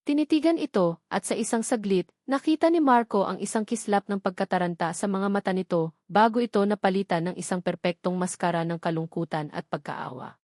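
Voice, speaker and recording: A woman's voice: medium pitch, brisk, darkish tone, no room sound, studio quality. A woman reading a scripted story in a dramatic narrating style.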